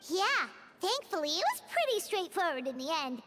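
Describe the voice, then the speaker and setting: A woman voicing a small childlike fairy companion in a video game, perky, blunt and squeaky, with exclamatory, cheeky delivery. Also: big pitch swings, normal speed, heavy background noise. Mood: impressed. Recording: loud game music behind.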